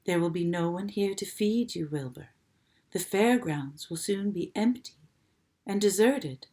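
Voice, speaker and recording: Woman reader as a weary female spider: quiet, low, calm, storybook. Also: unhurried, close to an everyday voice. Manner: soft, low, calm and tired. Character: gentle, dying female spider, calm and wise.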